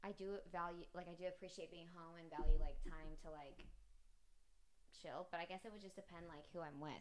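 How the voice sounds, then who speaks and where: A chatty young woman with a somewhat low voice hosts a casual couple's question-and-answer video. She reads each question aloud and laughs easily. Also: steady tone, fairly quick, background noise. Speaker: flight attendant and vlog host.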